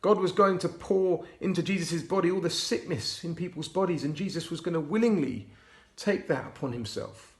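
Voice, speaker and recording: A man reading a Bible storybook aloud to children, expressive and often hushed. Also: on the high side, moderate pace. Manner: hushed, expressive, dropping to whispers. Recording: roomy echo.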